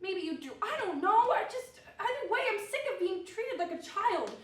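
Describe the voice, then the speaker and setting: A woman's voice: very high-pitched, lively intonation, moderate pace. A woman acting as a fed-up housewife in an amateur stage play, emotional and defiant. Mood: exasperated, defiant. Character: fed-up housewife standing up to her husband. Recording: echoey stage from far off, faint music.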